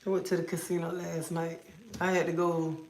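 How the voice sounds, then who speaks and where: A woman's voice: on the low side, little pitch movement, fairly quick, darkish tone, slight background noise. A woman hosting a casual livestream from home, feeling sick as if coming down with a cold, rambling and repeating herself. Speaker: livestream host. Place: at home, indoors. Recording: echoey room.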